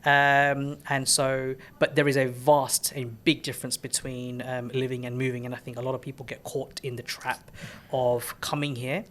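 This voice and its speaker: Man hosting a podcast, mid-range voice, lively storyteller who stumbles and restarts. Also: mid-range voice, normal speed, hardly any pauses, a little hiss or hum. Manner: animated storyteller, repeats words and restarts, frequent "you know". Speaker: podcast host. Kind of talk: casual podcast conversation.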